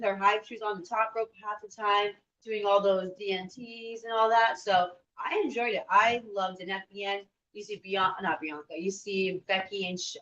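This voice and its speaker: A woman's voice: medium pitch, fairly bright tone. A woman co-hosting in casual chat, giving enthusiastic, informal reactions and often saying "like". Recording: dull, roomy, distant microphone.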